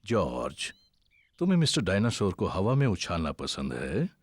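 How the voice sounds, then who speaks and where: Hugely expressive, kindly father pig. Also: low-pitched, normal speed, breathy edge, exaggerated voice. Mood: gently reasoning. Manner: gentle, encouraging. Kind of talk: children's cartoon dub.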